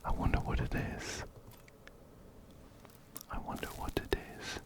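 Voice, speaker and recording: A man whispering breathily and gently, very close to a binaural microphone, as he narrates a game and reads its text aloud. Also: a little slow. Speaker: ASMR video host. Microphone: close-up binaural microphone, ear to ear.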